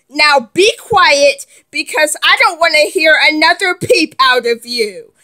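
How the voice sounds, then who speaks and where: A woman's voice: high-pitched, lively intonation, slow pace, bright, forceful tone, full character voice. A woman playing a firm mother who lectures her children. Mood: stern scolding. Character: stern, scolding mother.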